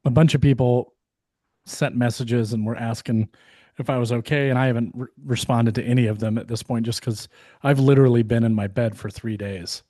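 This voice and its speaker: A man co-hosting a podcast, hoarse and losing his voice, talking in a casual, rambling way with many fillers and restarts. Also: mid-range voice, fairly even intonation, fairly quick, warm tone, no echo. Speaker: podcast co-host. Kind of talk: casual chat.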